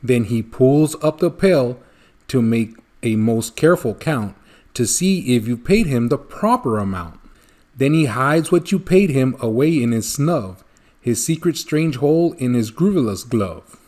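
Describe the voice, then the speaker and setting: A man reading a rhyming children's storybook aloud in an expressive narrating style. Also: mid-range voice, a little slow. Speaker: storybook reader.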